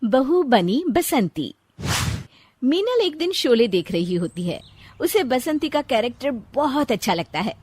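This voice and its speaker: Expressive, husky woman narrating a cartoon. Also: high voice, a little slow, dry recording. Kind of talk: scripted storybook narration for a cartoon.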